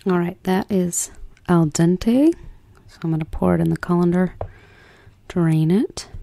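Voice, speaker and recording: A soft-spoken woman hosting an ASMR cooking role play, chatting soothingly as if to a guest. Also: lowish voice, measured pace, gravelly, dry recording. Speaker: ASMR host.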